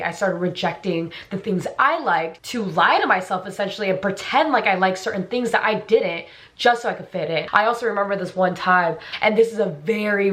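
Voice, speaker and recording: A woman's voice: mid-range voice, fairly bright tone. A young adult woman from New Jersey whose first language was Korean, talking casually to camera in a playful, bubbly way with sudden squeals and jokey asides. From New Jersey, USA. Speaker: YouTuber. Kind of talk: casual to-camera vlog talk.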